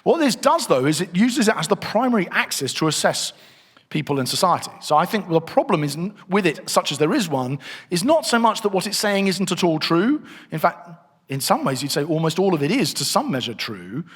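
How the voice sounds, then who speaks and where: Middle-aged man, church teacher, casual and thinking aloud, voice a little high, ringing hall sound. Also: sing-song, a little fast, a bit bright, slightly rough. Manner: conversational, thinking aloud with restarts. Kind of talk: church teaching session with slides. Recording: long reverb of a hall.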